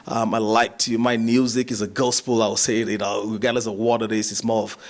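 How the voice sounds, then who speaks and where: A man from Nigeria, a musician and actor, chatting in an interview, relaxed and chuckling, with many fillers and restarts. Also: fairly low pitch, brisk. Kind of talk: casual interview chat.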